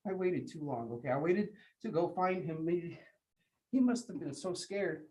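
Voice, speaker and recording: A man's voice: fairly high pitch, animated, normal speed, a little mellow, lightly acted. An actor playing a shaken young man who stammers as he retells a violent fight, in a stage play. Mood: bitter, guilty. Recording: roomy, distant from mic.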